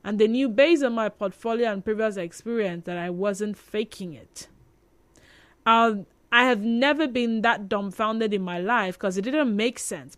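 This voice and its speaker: A woman with a Nigerian accent hosting live radio, reading listener messages aloud in an expressive, conversational way. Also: mid-range voice, no echo. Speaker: radio show host. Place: radio studio.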